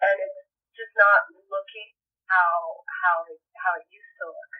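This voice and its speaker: A woman from Puerto Rico, an actress guest, talking thoughtfully with many halting restarts in a podcast interview. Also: fairly low pitch, steady tone. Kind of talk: podcast interview over the phone. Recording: muffled phone line, far from the mic.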